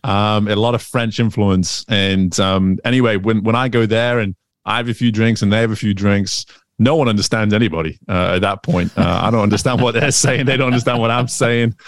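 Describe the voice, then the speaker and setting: A man's voice: fairly low pitch, fairly even intonation, brisk, no echo, studio quality. A man in his thirties from England, whose Northern English accent has picked up American sounds, chatting as an interview guest with many fillers and restarts. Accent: Northern English with American influence. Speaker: guest, swim coach. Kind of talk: casual interview conversation.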